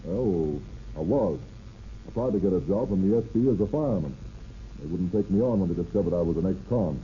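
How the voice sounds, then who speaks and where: An actor playing a sullen, defiant ex-convict in an old radio drama, answering grudgingly and tersely. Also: male voice, low-pitched, lively intonation, fairly quick, mellow. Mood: sullen confession. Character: sullen, defiant male ex-convict train wrecker. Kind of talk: 1930s radio acting. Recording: muffled, hissy old radio recording.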